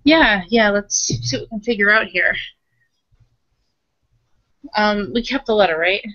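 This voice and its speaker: A woman with a medium-pitched voice improvises as a tabletop roleplayer. She talks informally and corrects herself as she goes. The audio is dry and a little muffled. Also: unhurried, sharp tone, dry recording. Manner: informal, loose, self-correcting chatter. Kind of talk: casual improvised roleplay and narration.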